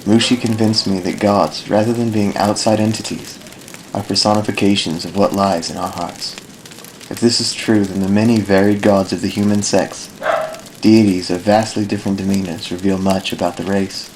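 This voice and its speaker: A low-pitched, thoughtful elf ranger, acted by a man for a fantasy audio drama. He speaks formally and solemnly, like an idealist recounting a long life. The amateur recording has noticeable noise behind the voice. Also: steady tone, moderate pace, natural voice, poor audio. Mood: solemn, reflective. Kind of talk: fan audio drama narration, literary monologue.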